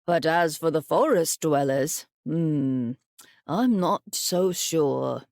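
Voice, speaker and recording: An old storybook grandfather, acted by a woman, pitched down and thoughtful. He muses slowly and suspiciously. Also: vocal fry, a little mellow, no echo, studio quality, heavily acted. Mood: musing suspicion. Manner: musing, hesitant.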